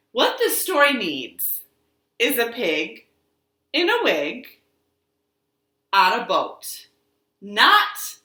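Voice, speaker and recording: A woman hosting storytime for young children, speaking in an expressive, encouraging sing-song way in a living room. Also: on the high side. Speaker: children's storytime librarian host. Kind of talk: children's storytime, talking and reading aloud to young kids. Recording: roomy home living room echo.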